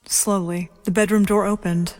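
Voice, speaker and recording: A woman narrating a scripted audio drama in a measured, matter-of-fact way. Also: medium pitch, fairly even intonation, a little mellow.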